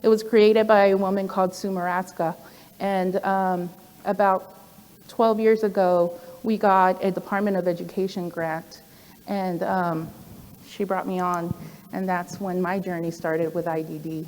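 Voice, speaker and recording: A woman's voice: lowish voice, little pitch movement, unhurried, darkish tone. Woman in her forties speaking in a reverberant hall. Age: 40s. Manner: conversational, with frequent "um" fillers and asides. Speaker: program director giving a talk. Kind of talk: personal presentation to an audience.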